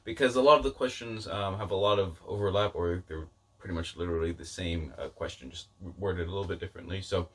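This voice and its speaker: A man's voice: low voice, fast, a bit bright, breathy edge, distant mic. A man giving a casual, rambling book-review chat to viewers, informal and self-deprecating in his delivery. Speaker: book vlogger.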